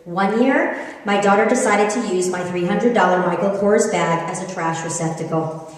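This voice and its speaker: The recording is very reverberant, with a long hall tail and the microphone set well away from the speaker. A middle-aged woman with an American accent gives a live reading as an author. Her delivery is conversational and expressive, in the style of humorous storytelling, at a medium pitch. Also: normal speed. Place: reading event in an echoey room. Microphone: distant microphone. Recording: very echoey room, distant microphone.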